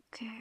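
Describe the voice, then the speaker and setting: Woman, soft coaxing whisper, slow, dry close mic. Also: dry recording, highs cut off. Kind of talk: whispered ASMR girlfriend roleplay.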